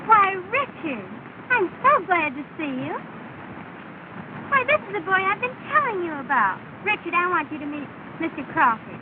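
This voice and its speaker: A gracious young woman with a high, lightly teasing voice and polished manners. The sound is thin and tinny with steady hiss, as on a 1930 film reel. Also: normal speed. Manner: bright, polite, lightly teasing.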